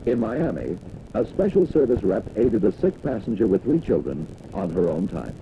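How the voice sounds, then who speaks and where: Low, lively American man narrating, dated film sound. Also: moderate pace, vocal fry, highs cut off, background noise. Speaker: narrator of a corporate training film. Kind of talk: 1960s scripted industrial film narration.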